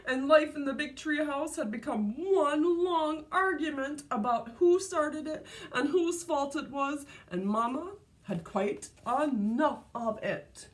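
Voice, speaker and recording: High-pitched, slow-reading woman storyteller. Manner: deliberate, exclaiming, prompting young listeners. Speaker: teacher reading to kindergartners. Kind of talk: expressive storybook read-aloud.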